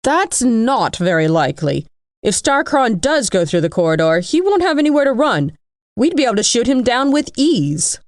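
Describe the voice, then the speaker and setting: A woman's voice: on the high side, big pitch swings, normal speed, no echo. An actress playing a shrewd, skeptical, assertive female general in an audio drama, with a pointed, formal manner. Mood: brisk, confident. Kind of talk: scripted audio drama acting.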